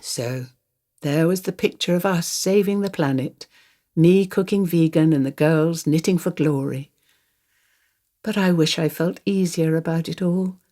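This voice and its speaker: A woman's voice: deep voice, measured pace, mellow. An older woman acting a radio play monologue as a wistful, wry grandmother confiding her thoughts. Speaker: actor reading a monologue. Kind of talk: radio play monologue, first-person storytelling.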